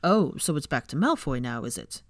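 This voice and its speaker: Casting: woman to voice a stubborn, smirking young wizard man for a storybook, low-pitched, dry and taunting. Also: big pitch swings, moderate pace, no room sound. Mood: smug, taunting.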